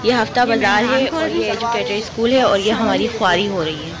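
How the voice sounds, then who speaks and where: A woman's voice: mid-range voice, brisk. A woman vlogging a walk through an outdoor weekly market, chatting about goods and prices and asking vendors for rates. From Pakistan. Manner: chatty shopping recommendations, haggling with vendors. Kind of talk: walking market tour vlog. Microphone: handheld phone camera. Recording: phone audio with crowd noise.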